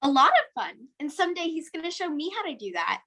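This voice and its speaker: A woman's voice: extremely high pitch, big pitch swings, fast, sharp tone, exaggerated voice. A woman voicing gushing little granddaughters. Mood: excited. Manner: gushing, pleading. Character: eager excited young granddaughters. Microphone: video call mic.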